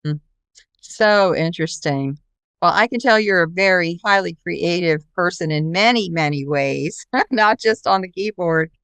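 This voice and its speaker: A woman podcast host speaks in a casual, conversational interview style. Her voice is a little low, clear and resonant, recorded dry without room sound. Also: unhurried, fairly bright tone, dry recording.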